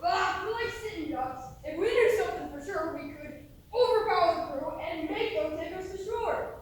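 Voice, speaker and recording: An actress playing an eager, dramatic young Irish emigrant aboard ship in a stage play. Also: female voice, very high-pitched, lively intonation, moderate pace. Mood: anxious. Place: theatre stage, picked up from afar. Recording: echoey hall, distant mic, faint noise.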